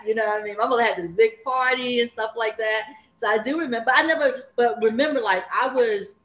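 A woman in her fifties from Savannah, Georgia, chats in loose, rambling runs, laughing as she goes. Her voice sits at a middle pitch. The room rings with echo, and the recording sounds a little dull and muffled. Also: fairly bright tone, a little hiss or hum. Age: 50s. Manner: chatty, rambling, laughs often. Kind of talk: casual group chat.

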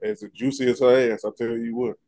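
A man co-hosting a casual gossip podcast, animated and profane, cracking jokes as he talks. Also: mid-range voice, fairly quick. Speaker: podcast co-host.

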